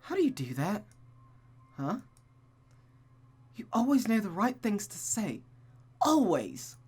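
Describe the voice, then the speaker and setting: A woman's voice: medium pitch, very animated, slow pace, darkish tone, slightly rough, pitched above the performer's own voice, heavily acted. A woman voicing an anxious young woman in a visual novel, earnest and hesitant as she confides. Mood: moved. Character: Catherine, anxious young woman confiding about her fiancé.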